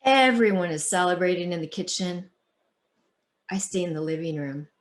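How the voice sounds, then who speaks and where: A woman author who reads aloud and talks in an expressive, deliberate storytelling manner. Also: fairly low pitch. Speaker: children's book author. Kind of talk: picture-book read-aloud and conversation.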